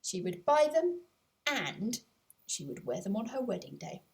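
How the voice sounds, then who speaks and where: Expressive woman storyteller with lively pitch. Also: vocal fry. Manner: expressive storytelling with deliberate dramatic pauses. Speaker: author reading their own audiobook. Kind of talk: scripted children's story narration.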